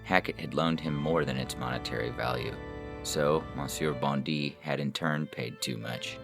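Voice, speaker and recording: A man's voice: a bass voice, steady tone, moderate pace, gravelly. A man reading scripted literary fiction as a story narrator. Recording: music under the narration.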